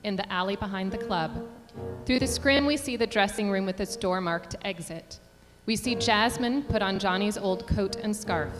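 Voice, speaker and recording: A woman's voice: mid-range voice, normal speed, few pauses. A woman reading stage directions as scripted narration at a staged theater reading. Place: performing arts center stage. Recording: reverberant theater hall, music behind.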